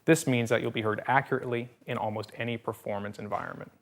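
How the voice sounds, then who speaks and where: Male voice-over, gravelly, mid pitch, slick sales read with punchy quips. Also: fairly even intonation, quick pace. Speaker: product presenter. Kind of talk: scripted product narration.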